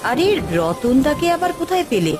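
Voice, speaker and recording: A woman's voice: high-pitched, big pitch swings, fairly quick, over music. A woman voicing a caring, inquisitive village housewife and mother in a cartoon. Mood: concerned. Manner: inquisitive, homely. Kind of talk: cartoon voice acting.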